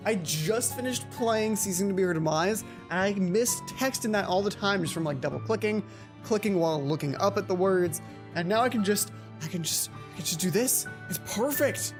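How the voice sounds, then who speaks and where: A woman's voice: medium pitch, animated, normal speed, music in the background. A woman hosting a let's play, reading game text aloud expressively and breaking into playful, rambling, casual asides.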